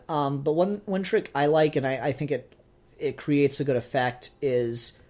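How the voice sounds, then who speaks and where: A man co-hosting a casual review discussion, thinking aloud in a halting way with repeated phrases. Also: a little high, darkish tone. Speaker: podcast co-host reviewing a fan film.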